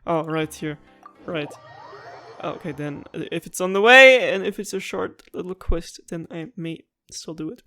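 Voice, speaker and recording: A woman's voice: fairly low pitch, normal speed, bright, forceful tone. A woman giving casual let's-play commentary as a gaming streamer, emotive and dramatic, with loose, sweary phrasing. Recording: game music underneath.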